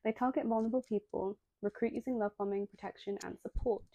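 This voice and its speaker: A young woman hosts a video essay in a low-lit, mellow voice with a gravelly edge. She sounds a little nasal and reads her script steadily, then slips into self-deprecating asides. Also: fairly even intonation, fast talker, dull audio. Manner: self-deprecating, breaks into apologetic tangents.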